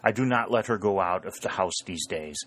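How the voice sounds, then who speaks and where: A man's voice: low voice, a little fast, fairly bright tone, rough recording. Man acting wily farmer, middle-aged. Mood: mock-mournful. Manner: broken English with foreign phrasing. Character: wily middle-aged German farmer, stubborn, mock-mournful. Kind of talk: audiobook character voice.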